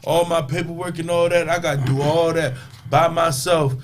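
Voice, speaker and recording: A man's voice: normal speed, slight background noise. A man hosting a podcast, chatting casually and animatedly, his voice leaping up when he tells stories. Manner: animated, jumps high when acting out surprise. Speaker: podcast host. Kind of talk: casual chat, storytelling.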